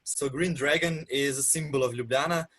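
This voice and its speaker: A young man from Slovenia chatting casually as an interview guest, relaxed and full of ums and soft laughs. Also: medium pitch, normal speed, rough recording. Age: young adult.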